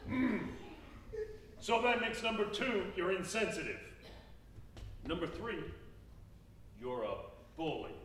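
A man performing a live improv comedy scene as a lazy, sassy fast-food worker who lectures the customer, recorded on a small theater stage. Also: sits high, big pitch swings, fairly bright tone. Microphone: distant room mic. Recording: echoey stage from far off, noisy.

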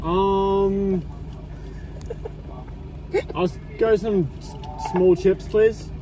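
A man's voice: sits high, fairly even intonation, very slow, music in the background. A young man with an Australian accent chatting casually and ordering at a drive-through, recorded on a phone in a car. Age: young adult. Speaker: vlogger and prankster. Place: inside a parked or idling car at night. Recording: noisy car, handheld phone audio.

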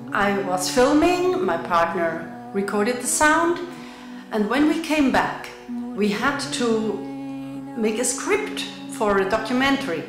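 A middle-aged woman from Switzerland and Luxembourg, a visual artist speaking to camera in a measured, explanatory way with some fillers. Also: a little high, reverberant. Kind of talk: recorded artist talk to camera. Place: indoor room with bare wall. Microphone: camera framed at chest height.

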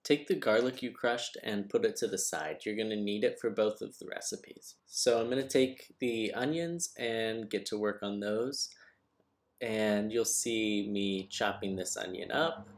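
A young man giving a calm, step-by-step cooking lesson as voice-over. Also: fairly low pitch, unhurried. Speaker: cooking teacher. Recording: distant echoey room with noise, video call.

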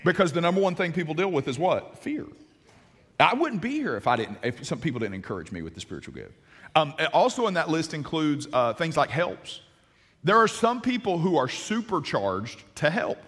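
Animated pastor preaching with a husky voice. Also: male voice, a little high, moderate pace. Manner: animated, gesturing, many restarts. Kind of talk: sermon. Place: large church stage. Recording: long hall reverb.

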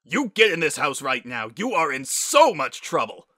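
A man's voice: mid-range voice, animated, sharp tone, no room sound, clean studio sound. Man voicing a stern, emotional middle-aged pony dad. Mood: angry scolding. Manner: stern, halting when moved. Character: middle-aged Pegasus father, stern but tearful and loving.

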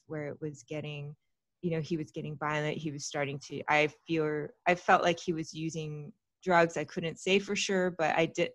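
Podcast guest, woman, 30s, lowish voice, candid and chatty. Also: dry recording. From Texas, United States. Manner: chatty and open, with frequent fillers and small laughs. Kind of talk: candid personal storytelling in an interview. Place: small room at home, indoors. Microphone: large studio mic with foam cover, close.

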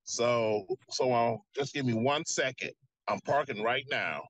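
A man's voice: mid-range voice, unhurried, fairly bright tone, no echo, low-quality recording. A middle-aged man talking casually and hesitantly from inside a car on a phone video call. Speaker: defendant. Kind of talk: casual talk. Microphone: phone at arm's length on video call.

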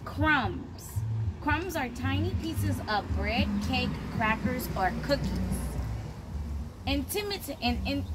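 A woman in her thirties reading a picture book aloud to young children as their teacher, in simple, deliberate phrases, recorded outdoors by a camera a short distance away. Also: high-pitched. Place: outdoors, on a patio beside plants. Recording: clear outdoor background noise.

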